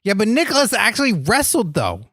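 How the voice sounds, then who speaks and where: A man's voice: high-pitched, huge pitch swings, fairly quick, fairly bright tone, no echo, clean studio sound, exaggerated voice. A man playing a naive, earnest wrestler sidekick on a comedy podcast, making absurd points with a straight face. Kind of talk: improvised comedy podcast chat.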